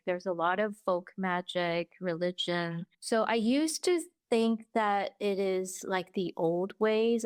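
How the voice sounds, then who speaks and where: Woman from taiwan, slow careful talk, smooth clear voice, guest on a podcast. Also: medium pitch, dry recording, clean studio sound. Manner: slow, measured, searching for words. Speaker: interview guest. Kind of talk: casual interview chat.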